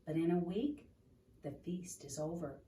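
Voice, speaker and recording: A woman reading a children's book aloud in an expressive, deliberate storytelling manner, in a roomy, noisy recording made at a distance from the microphone. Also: on the low side. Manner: expressive, deliberate, asking the listener questions.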